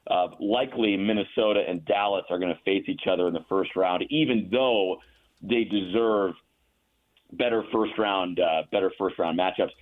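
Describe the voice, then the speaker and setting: A man speaking over a tinny phone line, his voice a little low. He talks in a chatty, fact-heavy way, often restarting his sentences, as a guest on a radio show. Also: moderate pace. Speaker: radio play-by-play announcer, guest. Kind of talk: casual radio interview.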